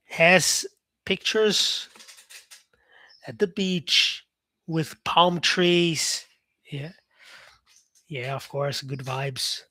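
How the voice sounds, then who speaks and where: A fairly high-voiced man hosts a live English lesson and chats loosely with viewers. He reads out their comments and talks with plenty of fillers. His voice is close to the mic and sounds dry, with no room echo. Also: fairly bright tone. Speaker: English teacher on a live stream. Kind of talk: casual live-stream teaching, talking to viewers.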